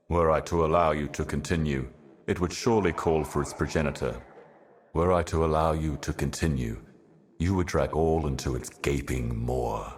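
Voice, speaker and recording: An ancient otherworldly herald of doom with an extremely low male voice. The delivery is solemn and measured, like formal oratory. It is a theatrical fantasy video game monologue, cryptic and portentous.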